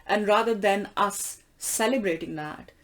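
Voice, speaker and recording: A woman's voice: mid-range voice, measured pace. A middle-aged woman whose mother tongues are Urdu and Punjabi, speaking emphatically and repeating key phrases in an earnest, conversational discussion of faith as host. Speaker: host in conversation with her son.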